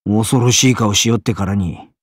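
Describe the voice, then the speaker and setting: A man voicing a surly, boastful swordsman assassin in a game, with rough, sneering Tosa-dialect speech. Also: lowish voice, normal speed, dry recording, studio quality, lightly acted. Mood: scornful. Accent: Tosa dialect of Japanese. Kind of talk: game character voice lines.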